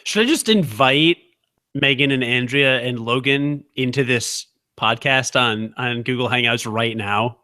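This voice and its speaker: A man's voice: medium pitch, a little slow, pushed and bright. A young man co-hosting a podcast, teasing and sarcastic in casual banter, often laughing. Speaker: podcast co-host.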